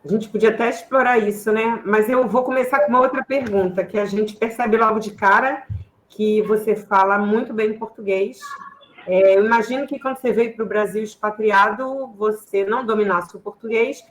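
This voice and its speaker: A woman's voice: mid-range voice, steady tone, moderate pace, rough recording. A woman co-hosting a livestream, interviewing a guest with thoughtful questions. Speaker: co-host.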